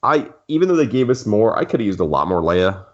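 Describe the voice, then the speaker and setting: Deep-voiced guy chatting casually about fan stuff, rambles and restarts, slightly dull recording. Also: sing-song, fast talker. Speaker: podcast co-host.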